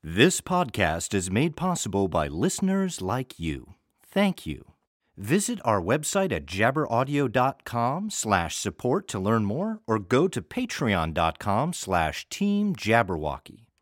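A man's voice: fairly low pitch, huge pitch swings, measured pace, dry recording, studio quality. A man reading a podcast introduction in an upbeat, promotional manner. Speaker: show announcer.

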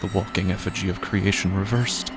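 A man narrating scripted horror fiction dramatically and gravely, with deliberate pauses and breathy drops toward a whisper. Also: sits low, fairly even intonation, music in the background.

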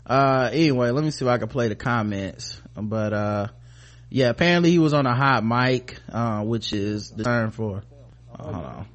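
A man's voice: medium pitch, normal speed. A man hosting a podcast with casual commentary, talking in a rambling, informal way full of slang and swearing. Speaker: podcast host.

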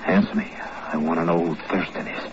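A shadowy, threatening gunman acted by a man in an old radio spy play. The voice is low and commanding, with controlled menace. The recording is dull and heavily noisy. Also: steady tone, a little fast, close to an everyday voice. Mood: menacing. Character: menacing, shadowy male gunman interrogating a captive. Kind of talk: 1950s radio drama acting.

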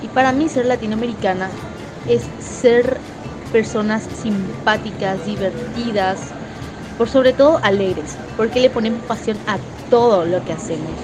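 A woman's voice: medium pitch, measured pace, over music, low-quality recording. A woman with a Paraguayan accent giving a personal statement.

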